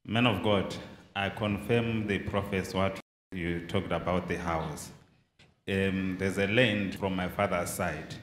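A young Zimbabwean man testifies in a low voice, feeling his way through his account. The large hall adds long reverb and faint noise. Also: steady tone, slow, a bit bright. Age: young adult. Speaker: congregant giving testimony. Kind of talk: halting personal account. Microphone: handheld mic held to his mouth. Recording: echoey hall with background noise.

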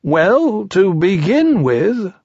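A good-natured little storybook bear played by a man, pitched high and thinking slowly aloud. He is innocent and hesitant, drawing his words out thoughtfully. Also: sing-song, darkish tone, slightly rough, dry recording, muffled recording, heavily acted. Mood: hesitant, puzzled.

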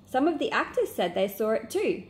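A woman's voice: on the high side, very animated, moderate pace. A woman voicing an outspoken, insistent girl in a children's storybook detective club. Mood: challenging.

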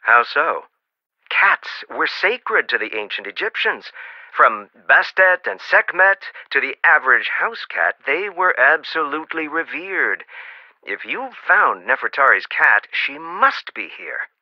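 A wry, encouraging archaeologist in a mystery game, with a lively rise and fall to his voice. The sound is dry and slightly dull, like lo-fi game audio. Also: a little high, measured pace, no room sound. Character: dry-witted male archaeologist, middle-aged, wry and encouraging. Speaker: archaeologist on the phone to the player. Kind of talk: video game voice acting.

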